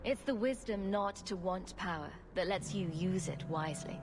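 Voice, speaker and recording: An actress playing a witty, level-headed young rogue hero in a video game cutscene, wry and direct. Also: female voice, fairly low pitch, moderate pace, noisy background, lightly acted. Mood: kind, earnest.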